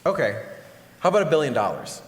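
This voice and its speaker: A man's voice: on the high side, sing-song, quick pace. A man playing an angry, sarcastic juror in an amateur stage play. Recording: echoey hall, long reverb.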